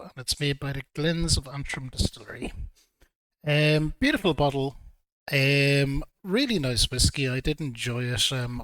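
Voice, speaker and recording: A man reviewing whiskey in a relaxed, hesitant way, a little throaty from a cold. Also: mid-range voice, no echo, rough recording. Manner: relaxed, hesitant with frequent ums. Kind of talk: casual video review.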